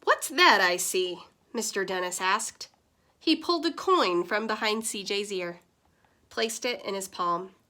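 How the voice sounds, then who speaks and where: A woman teacher reading a children's picture book aloud with expressive, lively storytelling. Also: mid-range voice, measured pace.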